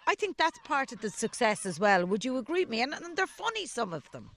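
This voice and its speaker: A woman's voice: fairly high pitch, very animated, a little fast, a bit bright. A woman radio presenter with an Irish accent, asking curious questions during an interview recorded outdoors. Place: outdoors on a farm. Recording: faint outdoor noise, geese honking.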